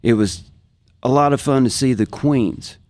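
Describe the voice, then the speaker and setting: A man's voice: mid-range voice, a little slow, darkish tone, dry recording, studio quality. A man from Kansas with an American accent, chatting casually and enthusiastically as a guest and reminiscing, often repeating phrases for emphasis. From Kansas, United States. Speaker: guest, professional guitarist. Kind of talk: casual podcast chat, reminiscing.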